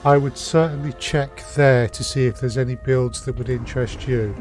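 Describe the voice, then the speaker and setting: Man from the UK, medium pitch, casual live stream commentary, hesitant and helpful, faint game music. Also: fairly even intonation, normal speed, darkish tone.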